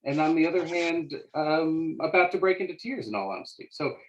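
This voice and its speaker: A man's voice: fairly high pitch, expressive, fairly quick, lo-fi sound, a little hiss or hum. A man moderating a book discussion, asking questions earnestly and often stammering as he restarts his sentences. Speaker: interviewer, moderator. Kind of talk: conversational interview.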